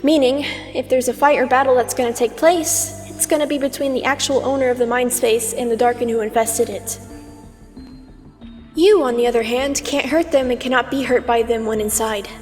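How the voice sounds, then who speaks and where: A woman's voice: a little high, steady tone, moderate pace, darkish tone, lightly acted. A woman playing a condescending, teasing mentor, an immortal aspect of Twilight in a comic dub, lecturing in a theatrical, sardonic way. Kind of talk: scripted fan comic dub acting. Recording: long reverb over music.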